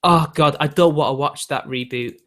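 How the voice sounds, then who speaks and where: A man's voice: on the high side, normal speed, a bit bright. A British man in his twenties with a young-sounding voice, chatting casually and ranting to his viewers with lots of fillers. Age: 20s. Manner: ranty, exasperated, filler-heavy. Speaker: YouTube host.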